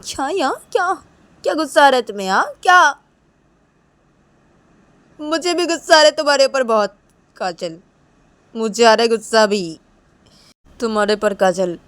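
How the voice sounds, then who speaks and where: A woman's voice: mid-range voice, fairly even intonation, unhurried, pauses often, smooth, dry recording, voice pushed up, close to an everyday voice. A woman voicing an upset young woman who scolds out of care, insistently repeating her phrases in an improvised dramatic story. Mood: angry.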